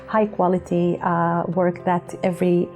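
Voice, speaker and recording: A middle-aged woman professor explaining her academic work in an earnest, measured way. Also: on the low side, monotone, a little mellow, soft background music. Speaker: university professor and researcher.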